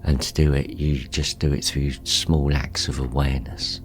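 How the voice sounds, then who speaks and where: Male meditation guide, extremely low voice, calm and gentle delivery over background music. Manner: calm, gentle, unhurried with pauses. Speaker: meditation guide, show host.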